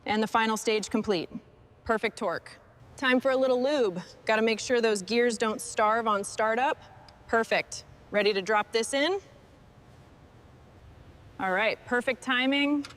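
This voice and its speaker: A woman's voice: on the high side, measured pace, long pauses, a bit bright. A woman working as a mechanic, giving short workshop instructions and commentary on a truck restoration.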